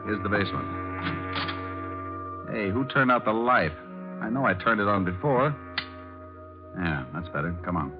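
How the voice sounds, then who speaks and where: An American actor playing a wisecracking, unflappable private detective in a wry, glib radio drama style. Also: male voice, fairly low pitch, expressive, moderate pace, pauses often, close to an everyday voice. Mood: cool, wisecracking. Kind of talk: 1940s radio acting with first-person narration. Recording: muffled old radio recording, music behind.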